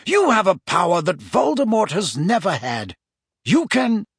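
A man's voice: sits high, huge pitch swings, a little slow, creaky, slightly rough, no room sound, clean studio sound, voice pushed up, exaggerated voice. A man voicing an old, wise headmaster wizard in an audiobook, speaking formally and emphatically as an earnest, urgent mentor. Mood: earnest, measured.

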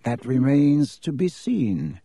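A haughty aristocratic villain, as a male narrator plays him, sneering through every smooth line in a mellow, swooping drawl. He is lazily polite and coolly menacing, as in a dark storybook. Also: on the high side, expressive, takes it slowly, no room sound, studio quality, voice pushed up, exaggerated voice.

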